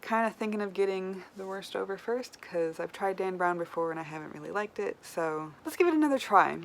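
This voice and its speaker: A woman's voice: medium pitch, lively intonation, fairly quick. A woman book vlogger chatting casually and informally to camera, thinking aloud as she goes. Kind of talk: casual chat to camera while playing a reading-list game.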